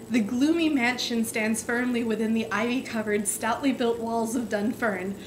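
A young woman with a high voice reads florid old prose aloud as an amateur. Her delivery is dramatic and giggly, tripping now and then on the long sentences. She is recorded in a room at home with faint noise behind her. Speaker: amateur reader. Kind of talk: dramatic reading of an overwrought novel.

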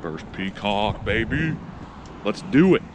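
A man vlogging while fishing outdoors, narrating casually and cheering loudly when fish bite. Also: lowish voice, slow pace. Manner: excitable, bursts of loud cheering on catches. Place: outdoors by urban water, windy. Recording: windy outdoor audio, clear background noise.

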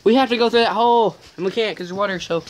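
A man's voice: high-pitched, very fast. Young male vlogger, casual and profane, handheld phone audio outdoors, very noisy. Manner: loose, profane, jumpy. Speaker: vlogger exploring abandoned buildings. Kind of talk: casual handheld exploration chat. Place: outdoors in woods and ruined sheds. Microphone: phone camera carried while walking. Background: rain and loud handling noise.

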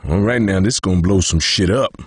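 An actor voicing a big, cocky, good-humored middle-aged survivor coach in a zombie video game, with a folksy Southern American accent and emphatic, salty delivery. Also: male voice, low voice, fairly quick, breathy edge, no room sound, clean studio sound. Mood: gleeful, cocky. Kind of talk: video game character voice lines.

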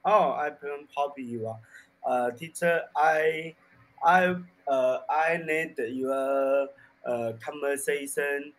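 A man from China with a thick Chinese accent, working through beginner English in slow, broken phrases. His voice sits slightly high for a man, full of fillers and restarts as he searches for words. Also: poor audio. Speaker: English learner. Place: small room indoors. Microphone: desk mic on boom arm.

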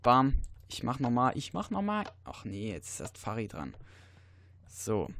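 A teenage boy with a cold, giving rambling casual commentary over a video game with many fillers and sudden excited outbursts. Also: mid-range voice, measured pace, creaky, a little hiss or hum. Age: teens. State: stuffy, has a cold. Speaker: let's player.

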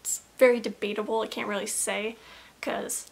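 A young woman chatting to her camera in a small room, rambling and giggling self-consciously, her voice a little raspy from allergies, recorded on the camera's own mic. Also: medium pitch, level tone, normal speed. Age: young adult. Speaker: vlogger. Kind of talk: casual chat to camera.

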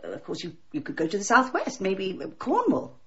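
A woman's voice: mid-range voice, huge pitch swings, moderate pace, pushed and bright, a little hiss or hum. An actress playing a kindly, flustered, giggly English village woman in a radio drama, twittery and hesitant, eager to please. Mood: giggly, helpful. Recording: muffled radio drama sound.

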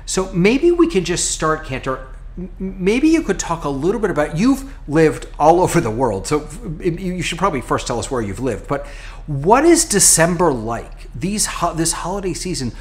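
A middle-aged American man, a rabbi, hosting a relaxed podcast conversation over a video call from his home office, talking in an animated way with frequent restarts. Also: a little high, normal speed. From United States.

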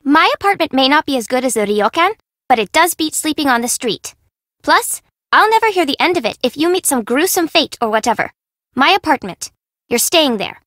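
An actress playing a dramatic, friendly young woman in a video game, expressive and informal. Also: sits high, no room sound, clean studio sound, full character voice. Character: perky, dramatic young woman, friendly but easily spooked. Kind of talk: scripted game dialogue.

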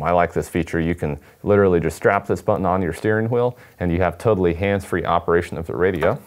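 A man's voice: low-pitched, darkish tone. A man, giving a casual unboxing demonstration as a product presenter. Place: indoor studio at a table.

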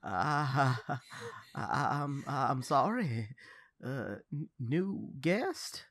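A man's voice: mid-range voice, lively intonation, very slow, fairly bright tone, dry recording, heavily acted. A man playing a timid, flustered husband in a horror radio play, stammering nervously and apologising. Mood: panicked.

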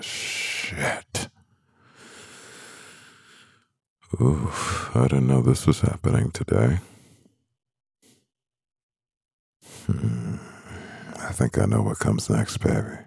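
A man playing a stressed, devoted CEO boyfriend in an intimate ASMR roleplay, murmuring with a husky, gravelly voice and pauses. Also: rumbling low, darkish tone, no echo, studio quality, full character voice. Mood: amused, flustered.

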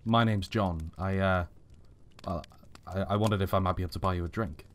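A man performs a mysterious stranger in a quiet drama. His voice is low, and he speaks gently and earnestly, with a slight hesitance. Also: steady tone, fairly quick, slight background noise. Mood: shy, hesitant. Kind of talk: naturalistic drama script reading.

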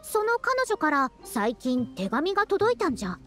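A woman's voice: high-pitched, very animated, moderate pace, fairly bright tone, faint music behind. A woman voicing a proud, youthful-sounding scholar in a Japanese video game, talking in a self-important yet caring way with old-fashioned elder's phrasing. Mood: earnest, caring. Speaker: scholar and teacher. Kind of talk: Japanese anime-style video game voice acting.

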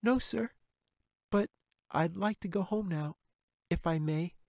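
A man's voice: high voice, slow pace, creaky, darkish tone, no room sound, studio quality, pitched above the performer's own voice, heavily acted. A man voicing a polite, bewildered young girl in a storybook reading, in a raised, earnest voice. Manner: timid and earnest.

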